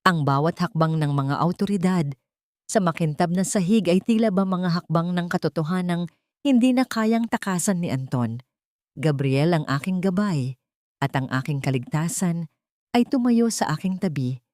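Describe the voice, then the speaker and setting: A woman narrating a scripted first-person dramatic story in a solemn, deliberate, sentimental way. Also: fairly low pitch, lively intonation, darkish tone, no room sound, studio quality.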